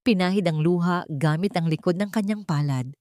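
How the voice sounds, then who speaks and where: A woman's voice: lowish voice, fairly quick, mellow, no echo, clean studio sound. A woman with a Filipino accent reading a scripted dramatic story as an audiobook narrator.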